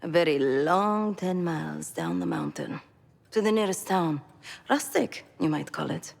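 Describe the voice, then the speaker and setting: A self-possessed female mercenary in a game cutscene. Her voice is on the low side with a fry in it. She is dry and wry, heard in a long reverb over faint music. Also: sing-song, unhurried, exaggerated voice. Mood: dry, reflective. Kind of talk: video game cutscene acting.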